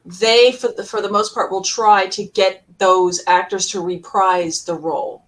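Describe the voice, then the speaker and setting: Female podcast co-host, mid-range voice, gushing and emphatic fan chat with halting, repeated phrases. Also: medium pitch, fairly even intonation. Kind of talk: casual fan panel discussion.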